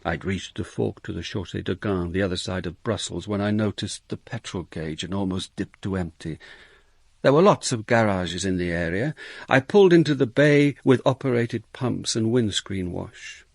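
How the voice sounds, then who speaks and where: An actor playing a weary, dogged police detective in a British radio drama, with a dry, wry manner. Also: male voice, on the low side, moderate pace, gravelly, no echo. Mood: weary, reflective. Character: weary, dogged male Dutch police detective, narrating his case.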